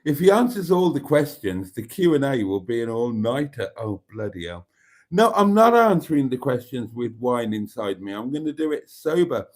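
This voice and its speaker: A man's voice: medium pitch, sing-song, moderate pace, a little mellow. An older man from Wales, Welsh his first language, hosting a casual live stream, chatting in a rambling, wry way as he reads out viewer comments. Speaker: livestream host.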